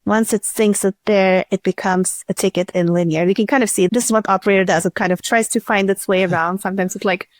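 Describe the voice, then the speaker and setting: A woman's voice: medium pitch, fairly even intonation, fast, hardly any pauses, no room sound. A woman talks as an interview guest, walking through a demo in casual, step-by-step fashion. Her voice is recorded dry and close, with no room sound. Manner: step-by-step, informal explaining. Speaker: podcast guest, startup chief executive. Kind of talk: casual product demo explanation.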